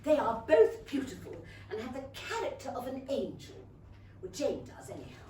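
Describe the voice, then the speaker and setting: A woman's voice: high-pitched, sing-song, normal speed, warm tone. An actress playing a flustered, scheming middle-aged Regency mother with an English accent in a comic staged reading. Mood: gushing, scheming. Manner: flustered, giggly, insinuating. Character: gushing, scheming matchmaking Regency mother of five. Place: small theatre stage. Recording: roomy theatre, distant mic, faint noise.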